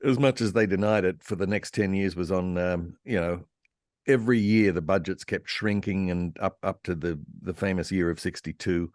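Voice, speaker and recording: A man with a low voice talks as a guest historian on a panel. He tells detailed anecdotes with keen interest, pausing and picking his way through them. The sound is close and dry. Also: no echo. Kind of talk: animated, anecdotal panel discussion. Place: online convention video call.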